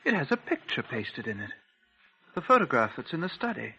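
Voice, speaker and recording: A man's voice: mid-range voice, big pitch swings, a little fast, vocal fry, dull audio, noisy background. A man playing a nervous, polite young curate in a 1940s radio drama, earnest and a little stammering. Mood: shocked. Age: young adult.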